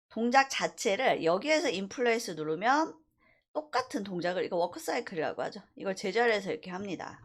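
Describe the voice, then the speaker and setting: A woman teaching a university animation class through a screen-recorded software tutorial, explaining step by step with frequent fillers. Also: mid-range voice.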